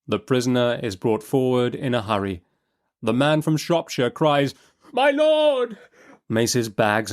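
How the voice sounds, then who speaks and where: A man giving a dramatic, literary audiobook narration. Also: on the low side. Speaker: narrator and host.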